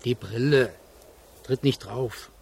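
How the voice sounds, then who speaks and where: Laconic cop for radio drama: unhurried, dark-toned, dry, faintly puzzled. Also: male voice, mid-range voice, breathy edge. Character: laconic, puzzled male policeman. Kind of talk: radio play acting.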